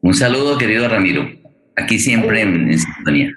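A man hosting a live conversation, haltingly repeating words as he searches for the next one. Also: on the low side, expressive, fairly bright tone, a little hiss or hum. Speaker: forum host. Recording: echoey room sound.

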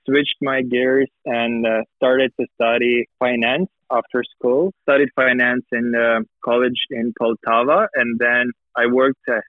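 A man's voice: mid-range voice, no echo. A young man from Ukraine speaking as a podcast guest over a remote call, friendly and easygoing. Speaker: guest, business association vice president. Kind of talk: casual interview chat. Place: home in Calgary. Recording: tinny remote call line.

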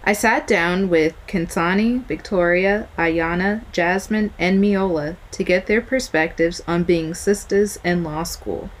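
Casting: young woman podcast host, somewhat low voice, upbeat and welcoming. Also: a little slow, few pauses. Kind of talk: podcast intro and group interview.

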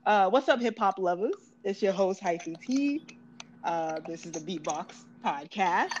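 A woman's voice: medium pitch, lively intonation, unhurried. A woman from Oakland hosting a podcast, chatting casually and laughing often. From Oakland, California. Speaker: podcast host. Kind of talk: casual interview chat. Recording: muffled lo-fi audio with faint noise.

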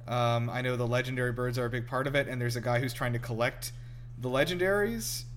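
A man's voice: mid-range voice, quick pace, fairly bright tone, slight background noise. A man hosting a casual movie reaction show, chatty, informal and enthusiastic. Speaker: podcast host. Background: film music playing.

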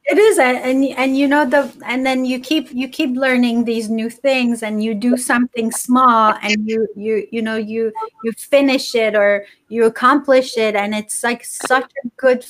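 Woman guest talking kinda fast and rambly, lots of restarts, voice on the high side. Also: steady tone, poor audio. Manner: eager, rambling, many restarts. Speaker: guest author. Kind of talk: casual interview chat.

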